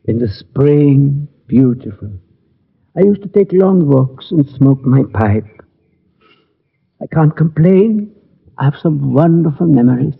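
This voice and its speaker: Husky old gentleman, tinny radio. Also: on the high side, animated, exaggerated voice. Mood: calmly resigned. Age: older. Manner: earnest, formal, halting. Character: gentle, dignified old gunmaker, a resigned uncle. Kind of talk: 1940s-50s radio drama acting.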